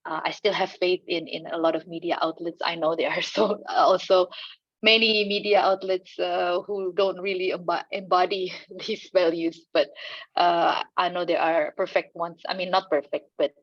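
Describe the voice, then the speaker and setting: Indonesian-accented woman panelist, low pitch, hesitant and full of fillers, dry and dull audio. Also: flat delivery, vocal fry, dry recording. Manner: halting, many fillers and restarts, light laughs. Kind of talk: conference panel answer.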